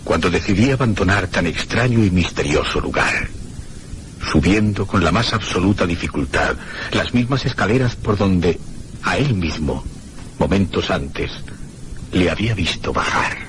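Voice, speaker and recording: Low-voiced, haunted horror narrator. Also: male voice, lively intonation, a bit bright, slight background noise. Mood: haunted dread. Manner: theatrical, deliberate phrasing. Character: haunted, dread-filled man recounting his ordeal. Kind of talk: dramatic radio horror narration.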